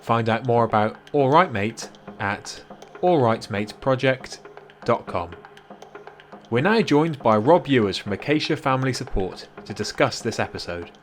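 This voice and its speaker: Man, announcer, scripted credits read, average pitch, faint music behind. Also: lively intonation, measured pace. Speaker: announcer and host.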